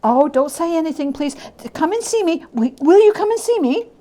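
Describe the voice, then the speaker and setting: A woman's voice: very high voice, animated, normal speed, mellow, voice pushed up. Lady doing a giddy old reformed miser from a storybook, stuttery, super polite and gushing, voice bouncing up and down. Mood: eager, grateful. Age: older. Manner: stammering, breathlessly effusive, polite. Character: reformed old miser, flustered, eager, overjoyed gentleman.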